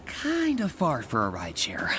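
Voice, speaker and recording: An actor voicing an earnest, wisecracking young superhero for a video game, with an American accent and a chatty, self-deprecating manner. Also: male voice, medium pitch, huge pitch swings, moderate pace, sharp tone. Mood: friendly, modest. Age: young adult.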